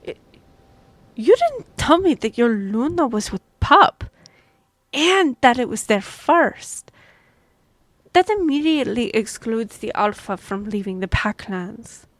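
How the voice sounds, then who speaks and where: A woman's voice: on the high side, very animated, no room sound. A woman playing a formal, courteous and firm half-elf envoy in a fantasy audio drama. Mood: composed. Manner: formal, measured, diplomatic. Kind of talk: scripted fantasy audio roleplay.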